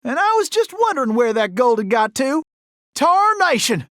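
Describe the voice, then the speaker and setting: An actor playing a cocky, gallant young cowboy outlaw in a video game, with a folksy, exclamatory Old West Southern drawl. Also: male voice, very high-pitched, very animated, moderate pace, a bit bright, no room sound, clean studio sound, exaggerated voice. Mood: urgent, alert. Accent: American Old West Southern drawl. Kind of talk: animated video game character acting.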